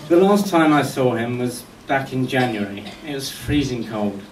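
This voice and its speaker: Middle-aged male tribute speaker, mid-pitched, wry storyteller at a memorial, recorded at a distance in an echoey room. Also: animated, normal speed. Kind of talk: eulogy speech with humorous anecdotes. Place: indoor function venue at a golf club, before an audience. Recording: echoey room, distant mic, faint noise.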